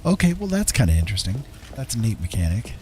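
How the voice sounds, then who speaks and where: A man's voice: on the low side, very animated, quick pace. A middle-aged man giving casual, easygoing live gameplay commentary, thinking aloud as he chats with viewers. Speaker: game streamer. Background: loud game music.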